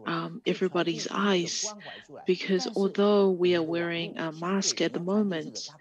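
A woman giving a live English interpretation of a talk, halting often with ums, uhs and restarts. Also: on the low side, steady tone, dull audio, a crowd in the background. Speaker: simultaneous interpreter. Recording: second voice speaking faintly underneath.

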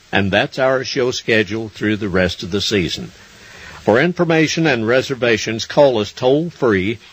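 Older Southern man, deep folksy announcer. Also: husky, low-quality recording. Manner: homespun, neighborly. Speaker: announcer of a country music gathering. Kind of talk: folksy scripted radio show announcing. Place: on stage in a large wooden barn theater. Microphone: stand microphone close to the mouth.

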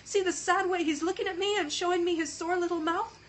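High teary little girl, woman putting her on for a storybook reading. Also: steady tone, brisk, slight background noise. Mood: tearful pleading. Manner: pleading, tearful. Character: tender-hearted little girl, Marie.

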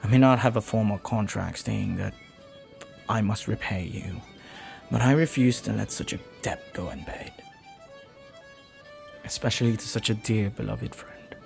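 Man voicing a flirty pirate captain, audio roleplay, low-pitched, creaky, gentle, earnest, hesitant, playful. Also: soft background music. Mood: earnest, grateful. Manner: soft, halting, tender, sometimes near whisper. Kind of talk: intimate audio roleplay acting.

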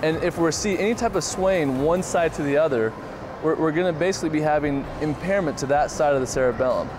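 A man's voice: on the high side, fast. A man in his thirties coaching people through simple tests and explaining them in an encouraging way, recorded on a lapel mic in a shopping mall. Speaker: TV host and doctor. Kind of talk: instructing and explaining to participants. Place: busy indoor shopping mall. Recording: background music and public bustle.

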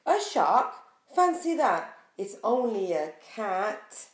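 A woman in her forties reading a picture book aloud to young children in an expressive, sing-song way. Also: mid-range voice, takes it slowly. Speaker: preschool storyteller. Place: small bare room indoors. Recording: echoey small room.

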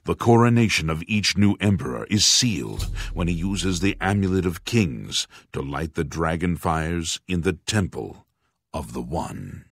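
A man playing a grave aging monk and former guard captain in a fantasy video game, speaking formally and deliberately with a husky voice. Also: sits low, no echo, studio quality, full character voice. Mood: grave, helpful. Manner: formal, solemn, deliberate enunciation.

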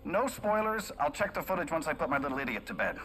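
Smug, bright-voiced Canadian villain. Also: male voice, a little high, very animated, fast talker, slight background noise, rough recording. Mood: smug taunting. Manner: smug, sardonic, mock-friendly. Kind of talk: video game cinematic acting.

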